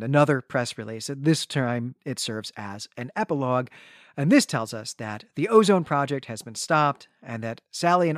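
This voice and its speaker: A man's voice: mid-range voice, no room sound, clean studio sound. A man co-hosting a podcast, recapping a story conversationally and often checking in with a tag question. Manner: conversational, frequent "right?" tags. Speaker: podcast co-host. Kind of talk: casual book discussion and plot recap.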